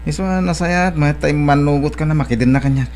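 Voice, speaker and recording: A man's voice: on the high side, fast, a little mellow, a little hiss or hum, lightly acted. A man playing an evasive, easygoing young suitor in an Ilocano audio drama, hesitant and stalling as he talks. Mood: apologetic, friendly.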